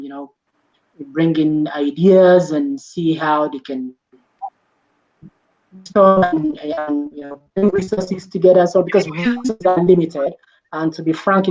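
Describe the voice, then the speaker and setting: A man's voice: on the high side, normal speed, a little mellow. Hesitant man on muffled call audio. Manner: thoughtful, hesitant with many fillers. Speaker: panelist. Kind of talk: webinar discussion. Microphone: video call.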